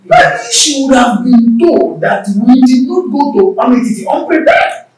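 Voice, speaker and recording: A woman's voice: high voice, big pitch swings, unhurried, darkish tone, soft background music. A woman playing a shrewd, counselling mother of a royal household in a film drama, formal and emphatic. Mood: knowing, explaining. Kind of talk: theatrical film drama dialogue. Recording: very echoey room, distant microphone.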